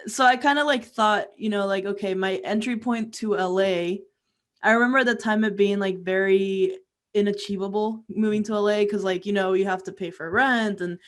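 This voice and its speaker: Young woman, casual interview guest, chatty and informal, says like a lot, mid-pitched voice. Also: normal speed. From Peru and Florida. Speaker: interview guest, music career coach.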